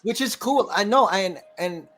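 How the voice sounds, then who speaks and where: A man with a somewhat high voice hosts a casual podcast chat. He talks like a comedian, telling stories in an animated, joking way and acting out other people's remarks. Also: moderate pace. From California. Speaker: podcast host and stand-up comedian.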